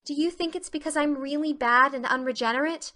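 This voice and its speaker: Talkative earnest teen girl, adult actress, bright and forceful, dramatic and full of feeling, for storybook drama. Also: high-pitched, a little fast, voice pushed up. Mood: earnest confession. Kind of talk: dramatic reading of a classic novel.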